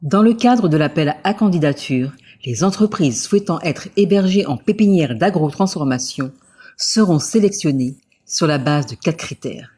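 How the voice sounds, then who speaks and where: A woman reading a scripted report narration in a measured, informative way. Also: deep voice, expressive, warm tone, slightly rough.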